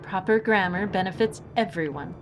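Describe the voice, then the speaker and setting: A woman's voice: medium pitch, natural voice. Woman playing a composed, precise adventure heroine, music behind. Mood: stern. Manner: measured, precise, dry. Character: composed, principled, pedantic heroine who reasons with villains. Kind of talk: audio drama acting.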